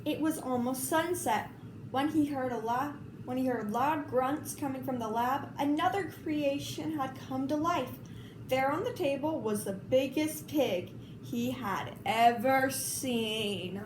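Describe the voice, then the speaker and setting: A woman reading a children's story aloud in an expressive, informal way. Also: high voice, unhurried. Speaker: storybook reader. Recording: roomy, clearly noisy home recording.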